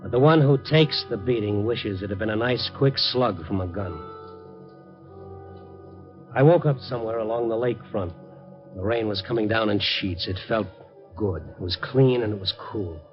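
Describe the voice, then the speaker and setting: A man's voice: fairly low pitch, animated, moderate pace, pauses often, natural voice. An American man playing a tough, wry, stubborn newspaper reporter in a 1950s radio crime drama, hard-boiled and sardonic. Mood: grim, weary. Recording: muffled vintage radio recording, music behind.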